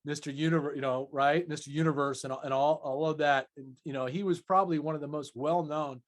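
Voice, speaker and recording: Effusive male interviewer with a higher-pitched voice. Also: fairly even intonation, a little fast. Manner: effusive, affirming, wordy. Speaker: interviewer, host. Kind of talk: conversational interview.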